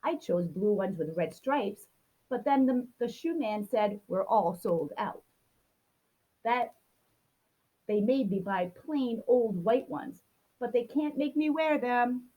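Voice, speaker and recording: A woman's voice: fairly high pitch, expressive, takes it slowly, muffled recording. A woman reading a children's picture book aloud, expressively acting out a grumpy child's complaints. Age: adult. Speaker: storybook reader.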